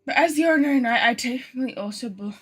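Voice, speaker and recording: Woman game streamer, high and bright, informal, sweary, self-deprecating live chat. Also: rapid-fire, slightly rough, soft background music. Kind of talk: casual live gameplay chat, reading some lines aloud. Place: bedroom.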